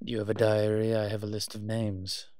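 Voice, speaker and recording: A man playing a wry, cautious vampire father in an audio roleplay, speaking in a measured, musing way to the listener. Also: lowish voice, completely flat delivery, darkish tone, dry recording, clean studio sound, lightly acted. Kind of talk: scripted audio roleplay acting addressed to the listener.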